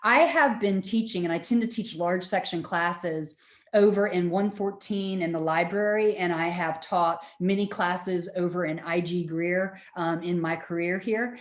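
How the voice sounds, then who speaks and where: A middle-aged woman, lecturing students in a loud, projecting voice and often checking that they follow. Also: lowish voice, measured pace, echoey room. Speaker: university lecturer.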